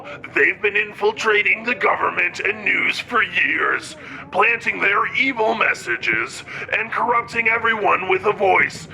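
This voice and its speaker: Man acting a sharp, creaky-voiced paranoid conspiracy ranter. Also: high voice, a little hiss or hum, heavily acted, low-quality recording. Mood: agitated, accusing. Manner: agitated, accusatory, emphatic ranting. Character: ranting paranoid male conspiracy theorist radio pundit. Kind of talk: audio drama radio news parody.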